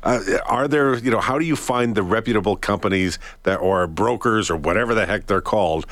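A man hosting a radio show, asking questions in a relaxed conversational way. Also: fairly low pitch, fairly quick, no room sound. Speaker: radio host. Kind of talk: radio interview.

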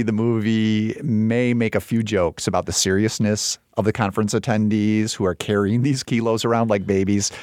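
A man's voice: lowish voice, darkish tone, no echo, clean studio sound. A man co-hosting a film review podcast, reading a listener's letter and reviewing in casual chat.

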